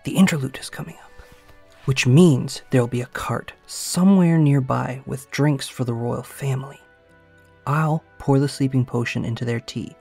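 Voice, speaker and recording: Actor doing a cheeky young thief guy, raspy mid voice, sneaky and playful, music behind. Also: medium pitch, moderate pace, dark tone. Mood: conspiratorial. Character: Zidane, cheeky young male thief with a tail. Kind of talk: theatrical audiobook acting. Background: background music.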